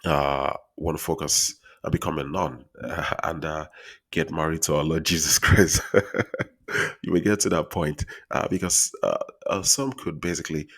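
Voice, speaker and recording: A man with a Nigerian accent giving earnest, casual relationship advice straight to his viewers, speaking softly because he is traveling. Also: extremely low pitch, steady tone, normal speed. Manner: earnest, repetitive, addresses viewers as guys. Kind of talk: casual advice monologue to camera.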